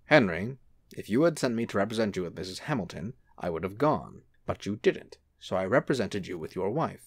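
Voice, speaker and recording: An actor playing a charming, unruffled angel in a radio-style drama, gently teasing as he talks. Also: fairly low pitch, very animated, brisk, breathy edge, no room sound, studio quality, heavily acted. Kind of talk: radio-play style acting.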